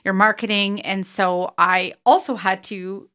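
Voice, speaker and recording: A woman in her forties with a bright, forceful voice at an average pitch. She speaks in a casual, animated conversational style, like a chatty podcast host. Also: unhurried, clear, resonant tone. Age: 40s. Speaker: podcast host, real estate team leader.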